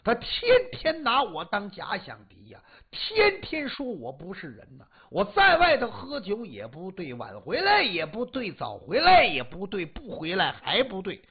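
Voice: medium pitch, wildly swooping pitch, a little slow, hardly any pauses, breathy edge, lo-fi sound, exaggerated voice. A storyteller voicing a drunk, aggrieved husband who stammers and complains at length. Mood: aggrieved whining. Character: drunk aggrieved husband pleading his case.

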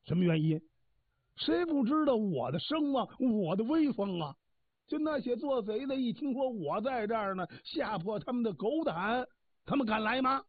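A man voicing a boastful, quick-tempered old orchard god who barks orders. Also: very high-pitched, lively intonation, husky, no echo, full character voice. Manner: swaggering, barking orders. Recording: tinny phone-like dry sound.

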